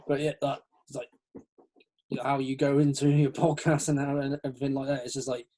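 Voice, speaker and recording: A man's voice: medium pitch, little pitch movement, fairly quick, warm tone, poor audio. A young man hosting a casual podcast chat, rambling with lots of fillers and laughs. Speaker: podcast host.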